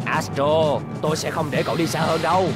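Man as cartoon race figure, high voice, excitable, combative, music behind. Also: a little fast, close to an everyday voice. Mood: intense. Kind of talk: Vietnamese cartoon dub.

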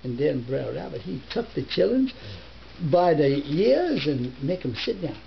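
An older man in his seventies reads a folk tale in a put-on American Southern dialect, halting now and then like a grandfather at story time. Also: on the high side, big pitch swings, creaky. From northern United States. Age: older, 70s. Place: book-lined living room. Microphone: camera a short distance away. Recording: muffled home camera recording with hiss.

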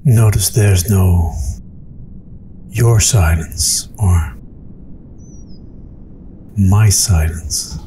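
Very slow, low-voiced man guiding meditation. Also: mellow, slight background noise. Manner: unhurried, soothing, with long pauses. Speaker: meditation guide. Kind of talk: guided meditation narration. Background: meditation music with singing bowls.

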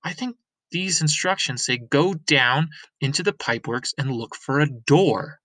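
A man's voice: mid-range voice, expressive, measured pace, sharp tone, dry recording. A man voicing an eager, earnest, slightly shy young girl in a storybook read-aloud. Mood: thoughtful.

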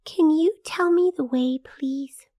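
A woman voicing a cheerful, kindly little girl in a children's cartoon, speaking simply and clearly to young viewers. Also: sits high, slow pace, mellow, no echo, clean studio sound. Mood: friendly, instructive.

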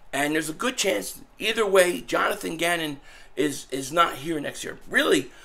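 A man with a gravelly, worn-out voice holds forth like an excited fan. He speaks a little high, pausing and restarting as he talks over the game. He is recorded in a small room at home. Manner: animated, fan talk with frequent restarts. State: losing his voice, hoarse. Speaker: sports vlogger. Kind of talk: casual sports commentary vlog.

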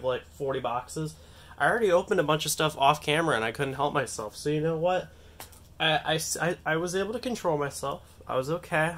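A young man with a nasal voice from a cold, chatting excitedly and rambling as he opens trading card packs on a table. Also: medium pitch. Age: young adult. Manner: excitable, rambling, self-mocking. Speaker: card-opening channel host. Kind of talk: casual unboxing vlog. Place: indoors at a table, hands on camera.

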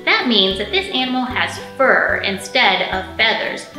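A woman in her thirties leading a storytime for young children, speaking in simple, expressive phrases with plenty of questions. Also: a little high, measured pace. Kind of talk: storytime teaching, singing and picture-book reading for young children. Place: indoor library storytime room. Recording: roomy echo, faint music.

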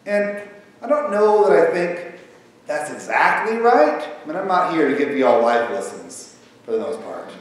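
A man in his thirties preaching a sermon from a church pulpit, talking in a conversational, animated way. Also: a little high, normal speed. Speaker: pastor. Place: large church sanctuary with pipe organ. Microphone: far camera across the sanctuary. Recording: echoey sanctuary from far off, faint hiss.

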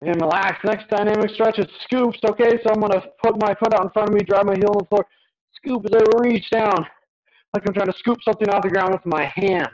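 A man's voice: sits high, steady tone, a little fast. A young man coaching a workout as he moves, giving encouraging, short, repeated instructions. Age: young adult. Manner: physically active while talking. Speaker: fitness coach. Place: small indoor home gym. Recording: dull lo-fi webcam audio.

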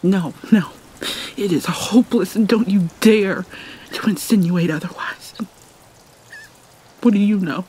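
Casting: woman to play haunted vampire mermaid princess for ASMR drama; slow, theatrical, breathy near-whisper, light rainy ambience behind. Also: medium pitch, dark tone, a little hiss or hum, natural voice, low-quality recording. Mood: defensive, angry. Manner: halting, theatrical, mournful. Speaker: roleplay voice actor. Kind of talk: dramatic ASMR roleplay monologue.

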